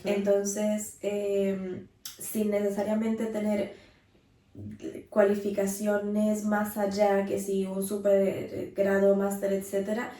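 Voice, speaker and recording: A young Colombian-accented woman co-hosts a casual podcast. Her tone is soft-edged and mellow, with little rise and fall in pitch. She sounds set back from the mic in an echoey space. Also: monotone, takes it slowly. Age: 20s. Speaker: podcast co-host. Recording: roomy echo, distant from the mic.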